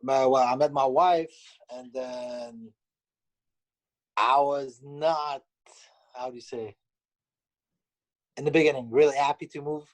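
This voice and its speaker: A man from Canada, a pizzeria owner, answering interview questions in a halting way with many fillers. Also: medium pitch, steady tone, poor audio.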